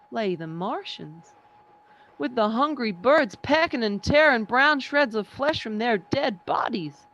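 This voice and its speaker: A performer playing a sombre professor who survived an invasion, narrating in measured, literary radio play style. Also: mid-range voice, fairly bright tone, close to an everyday voice, rough recording.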